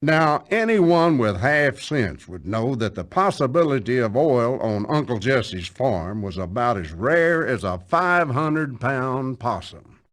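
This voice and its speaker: An actor playing a folksy, wry storyteller with a drawling Southern American accent, narrating a scripted tale. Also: male voice, medium pitch, very animated, takes it slowly, few pauses, fairly bright tone.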